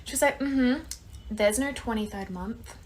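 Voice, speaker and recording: A woman, recounting a story to camera with quick, exasperated energy. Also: a little high, slight background noise.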